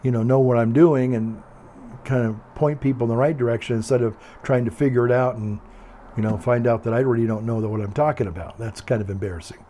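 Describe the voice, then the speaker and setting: Calm older man, mellow and rambling. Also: medium pitch, fast, slight background noise. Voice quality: calm, quiet voice. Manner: meandering, hesitant, repeats himself. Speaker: video blogger. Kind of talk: casual rambling monologue.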